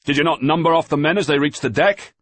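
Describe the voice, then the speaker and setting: A man shouting as a ship's sailing master in a gale. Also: fairly high pitch, quick pace, no echo, voice pushed up, close to an everyday voice. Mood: shouting urgently. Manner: shouting, urgent, commanding.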